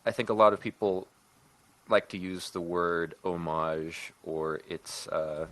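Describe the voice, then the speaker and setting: A man speaking as a podcast host in a casual discussion, thinking aloud with halting phrasing. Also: deep voice, flat delivery, a bit bright, no echo. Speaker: podcast host and writer-producer.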